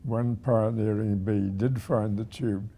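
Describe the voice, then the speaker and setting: A man of about a hundred with a husky, aged voice, reminiscing haltingly as a retired museum director. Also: fairly low pitch, fairly even intonation, mellow. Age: 100. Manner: halting, with repeated words and pauses.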